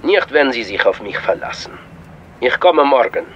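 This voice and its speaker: An actor playing a composed, secretive informant in a German crime radio drama, speaking in a controlled, patronising way. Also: male voice, mid-range voice, very animated, moderate pace, husky, muffled recording. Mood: cool, reassuring.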